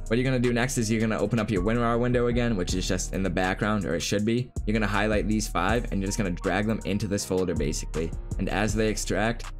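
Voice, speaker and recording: A man in his twenties giving a casual, informal tutorial, rambling a little as he explains step by step. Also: on the low side. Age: 20s. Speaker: gaming video creator. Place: small room indoors at home. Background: background music.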